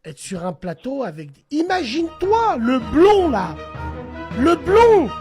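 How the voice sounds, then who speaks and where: A man hosting a call-in radio show in casual banter, animated, dropping to hushed tones and rising to loud exclamations. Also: extremely high pitch, slow pace, soft background music.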